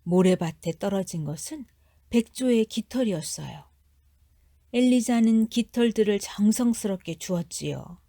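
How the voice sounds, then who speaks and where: A woman reading a children's fairy tale as a storyteller, with careful, expressive narration. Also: medium pitch, normal speed, no echo, studio quality.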